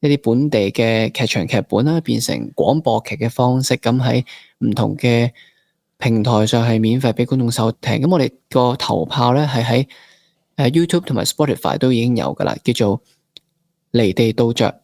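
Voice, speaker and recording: A man's voice: medium pitch, normal speed, gravelly, darkish tone, no room sound. Hong Kong man hosting, dry studio sound. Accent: Hong Kong Cantonese. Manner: informal, code-mixes English words. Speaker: podcast host. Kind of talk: casual interview hosting.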